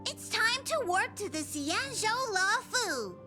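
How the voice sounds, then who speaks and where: A woman voicing a small, cute mascot creature, a train conductor in a video game, squeaky and announcing. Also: sing-song, slow pace, heavily acted. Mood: eager. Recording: game music under the voice.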